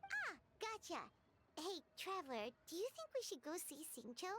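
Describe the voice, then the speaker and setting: A woman voicing a small, childlike fairy companion in a video game, chatty and quick to exclaim. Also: extremely high pitch, huge pitch swings, fairly bright tone, slight background noise, exaggerated voice. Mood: concerned. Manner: exclamatory, chatty, reacts with alarm.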